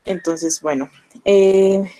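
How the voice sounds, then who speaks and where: A young Mexican woman with a Mexican Spanish accent, explaining to her students in a relaxed classroom manner full of fillers. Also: medium pitch, very slow, low-quality recording. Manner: conversational, explanatory, with frequent fillers. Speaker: language teacher. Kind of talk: online class lecture.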